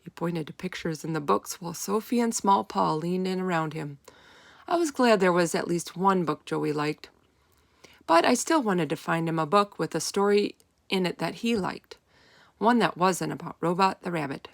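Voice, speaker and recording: A woman reads a children's chapter book aloud with expressive emphasis. Her voice sits a little low and has a touch of creak, recorded close and dry. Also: moderate pace, no room sound. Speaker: storyteller reading aloud. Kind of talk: expressive children's read-aloud narration in first person.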